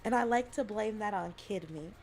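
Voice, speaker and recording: A woman's voice: medium pitch, normal speed, darkish tone, soft background music. Giggly rambling young woman streamer. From Alabama, US South. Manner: giggly, laughs often and rambles. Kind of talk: casual livestream chat.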